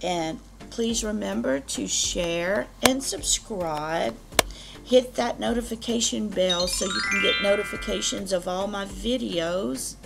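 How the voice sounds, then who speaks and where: A woman beauty vlogger reading product descriptions to camera, her voice deep, rough and strained from allergies. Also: low-quality recording. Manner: slow, careful reading of product copy.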